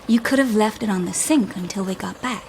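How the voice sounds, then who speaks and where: Woman playing a nervous, hushed young wife. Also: medium pitch, fairly quick, a little hiss or hum, natural voice. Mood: exasperated. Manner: nervous, hushed, breathy fretting. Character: shy, anxious, prudish young honeymooning wife. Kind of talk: naturalistic audio drama acting.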